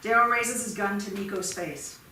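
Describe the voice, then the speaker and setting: Female stage directions reader, mid-pitched, recorded from afar in a very reverberant theatre. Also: normal speed, pushed and bright. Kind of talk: scripted narration of stage directions. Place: small theatre, staged reading. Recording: noisy, very echoey theatre from far off.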